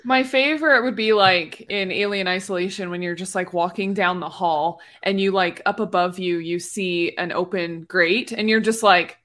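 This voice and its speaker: Bright-voiced woman chatting informally. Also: lowish voice, moderate pace, few pauses. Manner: informal, peppered with "like". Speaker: podcast speaker. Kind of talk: casual podcast chat.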